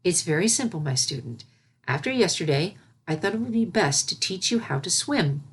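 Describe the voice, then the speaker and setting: A woman voicing a calm, regal alicorn princess and patient teacher, gentle and composed, in a storybook reading. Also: lowish voice, moderate pace, breathy edge. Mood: calm, kindly. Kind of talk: storybook character voice in fan fiction reading.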